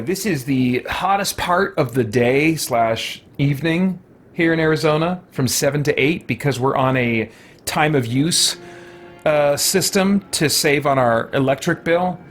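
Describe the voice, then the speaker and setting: Rambling American man, casual game commentary. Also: medium pitch. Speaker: let's play streamer. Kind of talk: casual gameplay commentary, chatty. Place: home office. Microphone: microphone with pop guard.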